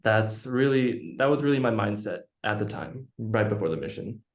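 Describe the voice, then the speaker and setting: A young man from Utah, a guest on a podcast, answering questions in a thoughtful, measured way. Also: fairly low pitch, expressive. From Utah, United States. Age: young adult. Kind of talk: casual interview chat. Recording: echoey room.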